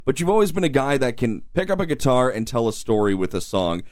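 A male host leads the interview with upbeat, encouraging questions, keeping the chat easy and friendly. His voice sits at a middling pitch and he talks at an everyday pace. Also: big pitch swings, quick pace. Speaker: radio show host. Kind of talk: conversational interview hosting.

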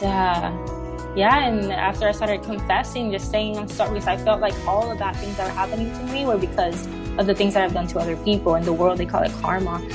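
A woman in her thirties with a Puerto Rican Spanish accent tells her personal faith story in an interview. She was raised speaking Spanish, and her speech is halting and full of fillers and restarts. Soft music sits faintly under her voice. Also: on the low side. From Puerto Rico. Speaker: interview guest.

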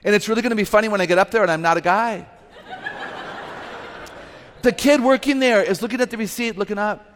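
A woman with an unusually low voice gives a lively, humorous talk to a live audience through a microphone. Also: a little fast, pauses often, background noise. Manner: lively, humorous, emphatic, laughs at herself. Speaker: guest speaker and author. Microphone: microphone on a stage. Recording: live talk with long hall reverb.

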